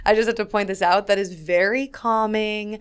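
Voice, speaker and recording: A woman hosting a casual podcast interview, bubbly and energetic, talking in quick bursts. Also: medium pitch, smooth. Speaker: podcast host.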